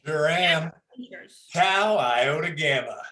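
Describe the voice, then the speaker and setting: In an echoey room, a man plays a brash, obnoxious tiger from a bawdy stage play. The voice sits fairly high for a man and swings widely, drawling through crude teasing. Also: expressive, slow, pushed and bright, exaggerated voice. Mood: boastful, lewd. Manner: brash and teasing, laughs at own jokes. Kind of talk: adult comedic stage play reading.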